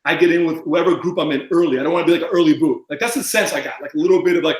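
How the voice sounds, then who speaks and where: A man chatting as a podcast guest, mumbling and talking fast with lots of fillers. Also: fairly high pitch, echoey room. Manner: rambling with many fillers. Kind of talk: casual podcast chat.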